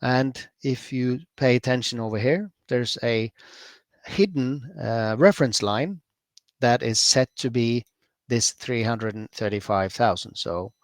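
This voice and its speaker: A man from Norway with a Norwegian accent, chatting through a software demo at a user group, relaxed, with lots of fillers and self-corrections. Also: medium pitch, unhurried, no echo. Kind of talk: screen-share software demo.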